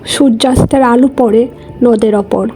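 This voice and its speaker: A woman's voice: a little high, steady tone, moderate pace, music in the background. A woman with a Bangladeshi accent narrating a casual family travel vlog in voice-over.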